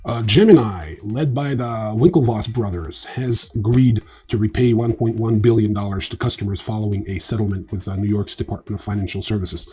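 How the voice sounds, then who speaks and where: A man's voice: lowish voice, fairly quick, few pauses, warm tone, slightly rough. A man hosting a news show, delivering informal scripted commentary in a blunt, wry, opinionated manner. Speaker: host of a crypto news show.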